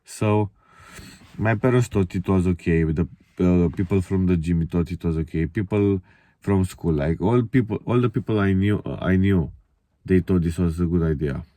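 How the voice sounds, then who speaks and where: Male vlogger, twenty, Romanian accent. Dark, warm tone, dry close sound, candid and introspective. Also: low voice, normal speed, no room sound. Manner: candid, reflective, swearing. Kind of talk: casual confessional monologue to camera.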